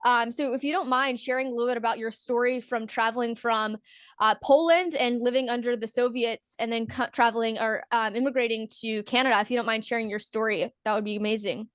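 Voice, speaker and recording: A woman's voice: fairly high pitch, fairly even intonation, fairly quick, fairly bright tone, clear, resonant tone, no echo, studio quality. A young woman hosting an interview podcast, talking in an eager, upbeat and earnest way. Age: young adult. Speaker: podcast host.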